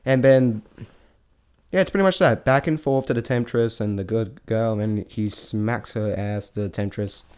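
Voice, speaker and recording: Halting, rambling man reviewing casually. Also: lowish voice, darkish tone, smooth. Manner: halting, rambling, often trailing off and restarting. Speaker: vlogger reviewing a stage show.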